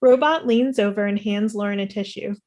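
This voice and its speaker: A middle-aged woman narrates a script's stage directions. Her delivery is plain, and her voice sits at a middle pitch. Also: steady tone, brisk, a little mellow. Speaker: reader of screen directions. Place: indoor room at home, video call. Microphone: computer mic on video call.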